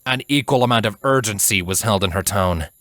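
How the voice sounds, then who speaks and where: A man reading a fantasy story as a narrator, in a measured, dramatic way. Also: lowish voice, a bit bright, no echo. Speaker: fan fiction narrator.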